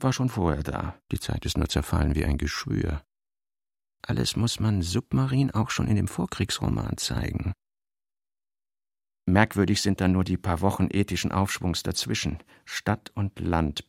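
Brooding novelist, low voice, creaky, clipped deliberate phrasing, radio play reading. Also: male voice, dry recording, clean studio sound, full character voice. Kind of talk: literary radio reading of narration and working notes.

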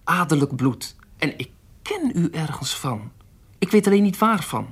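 An actor playing a self-important, flattering town magistrate with a husky voice and a courtly, fussy manner in a period audio drama. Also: male voice, a little high, moderate pace, full character voice. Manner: courtly, fussy, repeats himself.

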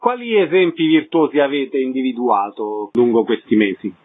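A man's voice: mid-range voice, moderate pace. A man hosting a radio interview, moving between scripted announcements and questions, over a narrow broadcast sound.

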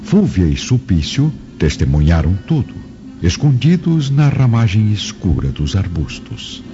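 A man's voice: fairly low pitch, big pitch swings, unhurried. A man giving dramatic scripted narration for an audio novel. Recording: dull lo-fi recording over music.

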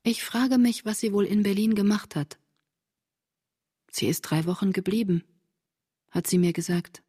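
Husky, intimate woman diarist. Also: medium pitch, mellow. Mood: pensive. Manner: measured, intimate, literary delivery. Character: reflective French woman reading her 1945 diary of friends. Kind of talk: literary radio drama narration.

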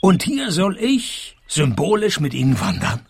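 An actor with a husky, gravelly voice and a Swiss accent plays a cheeky, sardonic, money-minded private detective in a comic radio play. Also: male voice, a little high, wildly swooping pitch, a little slow, heavily acted. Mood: sceptical. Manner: dry, sardonic. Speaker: lead character and narrator.

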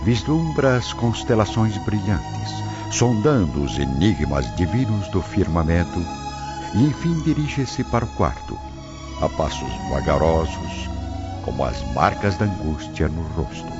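A man's voice: low voice, sing-song, a little slow. A man with a Brazilian accent delivering solemn, literary, dramatic narration for a radio drama. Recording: dull lo-fi with prominent music.

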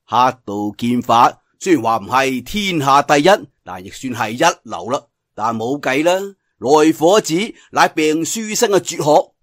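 A man's voice: medium pitch, big pitch swings, fairly bright tone, no echo, clean studio sound. A man narrating a martial arts tale in theatrical, colloquial Cantonese storytelling style. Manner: theatrical, colloquial telling with drawn-out sentence particles. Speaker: audiobook storyteller.

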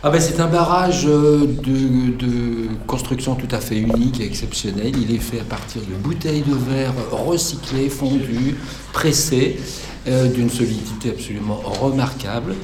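A man's voice: medium pitch, moderate pace, close to an everyday voice. A man playing a boastful, hesitant engineer answering questions at a mock press conference in a radio fiction. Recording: roomy echo with faint noise.

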